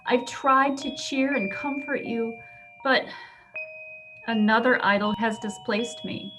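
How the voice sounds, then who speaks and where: A woman acts a gentle young Victorian fiancée, earnest and quietly resolute. She speaks slowly in a fairly high voice, sad and measured. Music plays clearly behind her. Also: low-quality recording. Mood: sorrowful, resolute. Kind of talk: dramatic reading of a Victorian Christmas story.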